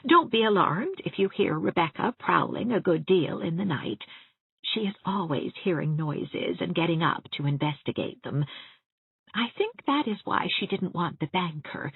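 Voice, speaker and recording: A gentle old widow aunt, acted by a woman reader, husky and very lilting in pitch. She rambles along confidingly and genteelly, a timid audiobook character in a thin, roomy recording. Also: lively intonation, moderate pace, narrow, tinny audio, full character voice. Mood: chatty, earnest. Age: 60s. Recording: roomy, thin band-limited sound.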